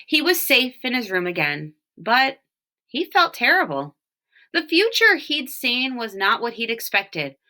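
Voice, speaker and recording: A woman reads a storybook aloud for children. Her voice is bright and her pitch moves in lively swings. She takes her time, leaning expressively on key words. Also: a little slow. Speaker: storybook reader, teacher reading for home learning.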